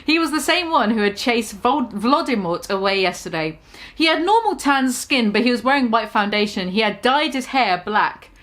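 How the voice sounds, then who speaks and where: Amused woman reading aloud, high voice. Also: animated, moderate pace, fairly bright tone. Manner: amused, keeps breaking into laughter, sarcastic asides. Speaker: host reading a fan story aloud. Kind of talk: mock-dramatic reading.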